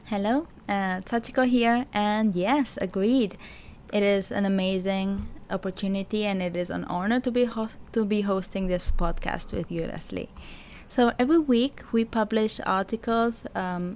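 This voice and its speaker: Woman from barcelona, podcast cohost, earnest and measured, bit of faint noise. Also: medium pitch, darkish tone. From Barcelona, Spain. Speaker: podcast co-host. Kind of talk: reading a podcast introduction.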